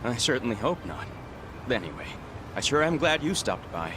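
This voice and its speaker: A man's voice: medium pitch, very animated, fairly quick, noisy background, lightly acted. An actor playing a polite, weary male restaurant owner in a video game cutscene. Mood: resigned, earnest.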